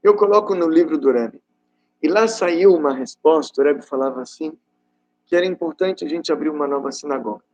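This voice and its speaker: A middle-aged man from Rio de Janeiro gives an informal religious talk as a rabbi, telling stories in a conversational, halting way with rhetorical questions. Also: a little high, darkish tone, slight background noise. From Rio de Janeiro, Brazil. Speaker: rabbi giving a class.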